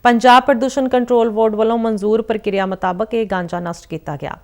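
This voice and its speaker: A woman reading a regional television news bulletin in a steady, formal way. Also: fairly high pitch, fairly quick. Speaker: news reader.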